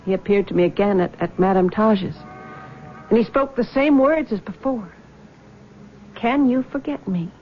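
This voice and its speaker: An American actress playing a troubled, earnest young daughter in a 1970s radio drama, speaking hesitantly and with feeling. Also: female voice, mid-range voice, normal speed, pauses often, highs cut off, lightly acted. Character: troubled young woman, guilt-haunted, breaking from her mother. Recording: faintly noisy 1970s radio recording.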